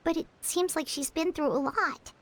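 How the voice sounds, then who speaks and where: A woman's voice: high-pitched, moderate pace, fairly bright tone. A woman voicing a small chatty fairy-like companion in a video game, who talks about herself in the third person. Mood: curious. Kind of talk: video game cutscene dialogue.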